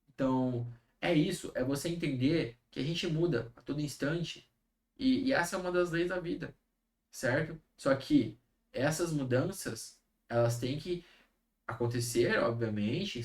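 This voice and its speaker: Chatty man, frequent tag questions. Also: mid-range voice. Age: 30s. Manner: conversational, often adds tag questions. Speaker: health coach hosting a live stream. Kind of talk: casual live-stream advice talk.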